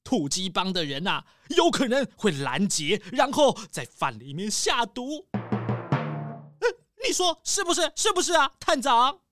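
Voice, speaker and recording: A woman's voice: fairly high pitch, sing-song, measured pace, fairly bright tone, no echo, soft background music. A woman voicing a rich, anxious, food-loving male squirrel tycoon in a children's story, fussy and full of exclamations. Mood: worried. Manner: fussy, exclamatory, repeats words. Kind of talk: children's audio story acting.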